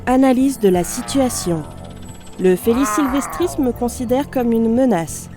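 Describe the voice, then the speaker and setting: A woman playing a damaged robot unit in audio fiction, speaking formally and precisely, polite, analytical and gently naive. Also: on the high side, expressive, unhurried, over music. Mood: clinical, methodical. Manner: formal, clipped system announcements and precise explanations. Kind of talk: scripted audio fiction monologue.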